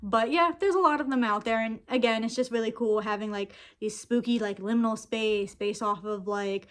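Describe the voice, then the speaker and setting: A woman's voice: on the high side, normal speed, a bit bright. A young adult woman hosting a casual, rambling vlog, talking informally with lots of slang and filler words. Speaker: video host. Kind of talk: casual chatty rant-style vlog.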